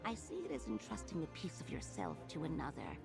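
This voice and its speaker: Earnest tribeswoman, video game dialogue, formal and measured, gravelly edge, music underneath. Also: deep voice, huge pitch swings, darkish tone, heavily acted. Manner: formal, measured, poetic phrasing. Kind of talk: video game character acting. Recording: music behind the voice.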